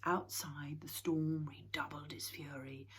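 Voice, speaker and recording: A woman reading a children's storybook aloud in a hushed, often whispered way. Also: deep voice, little pitch movement, unhurried, breathy edge.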